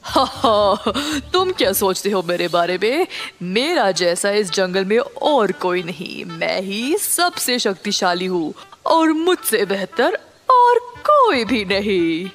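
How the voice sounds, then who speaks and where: A woman's voice: medium pitch, very animated, slow pace, few pauses, soft background music. A woman voicing a pompous, domineering lion king in a children's cartoon story. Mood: boastful, pleased.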